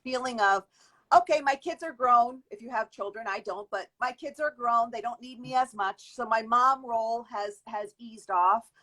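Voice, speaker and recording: A woman's voice: fairly high pitch, moderate pace, pushed and bright, rough recording. Reflective woman in her fifties. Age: 50s. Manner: reflective, long flowing answers with restarts. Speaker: guest, beauty industry worker. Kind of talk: conversational interview answer.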